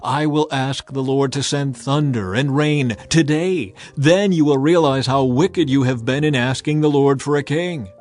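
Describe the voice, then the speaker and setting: A man's voice: mid-range voice, a little slow, hardly any pauses, a little mellow, natural voice. A man voicing a stern aged male prophet who addresses a nation in a solemn, admonishing way, in a dramatized scripture reading.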